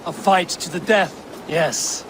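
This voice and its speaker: An actor playing a seasoned Viking warrior who speaks in a measured, commanding way. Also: male voice, on the high side, background noise. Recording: game cutscene with loud ambience.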